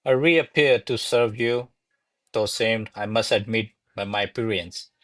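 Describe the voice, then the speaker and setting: A man's voice: lowish voice, a little slow, lightly acted. Man playing a wry, rambling servant. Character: wry, grumbling male servant, cheeky and talkative. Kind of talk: comic servant role in radio play.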